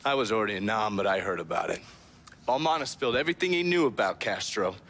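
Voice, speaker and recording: An actor playing a worldly, controlled American government agent in a video game, talking in a knowing, matter-of-fact way. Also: male voice, lowish voice, animated, quick pace, a bit bright. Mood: matter-of-fact, somber. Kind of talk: video game cutscene acting.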